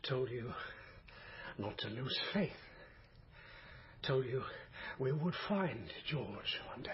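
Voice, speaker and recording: An actor playing a dying gentleman father in a period radio drama, proud and emotional, with a halting delivery. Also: mid-range voice, expressive. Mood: solemn, grateful. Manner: slow, halting, weighty delivery. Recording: dull, noisy radio drama recording.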